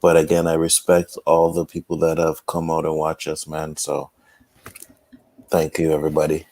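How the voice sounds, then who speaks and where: A man with a very low, deep voice, chatting on a stream in an easygoing way. He trash-talks playfully, and the sound is dry and close. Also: completely flat delivery, normal speed, a little mellow, no echo. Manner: laid-back, friendly trash talk. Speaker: streamer co-host. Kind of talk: casual stream chat.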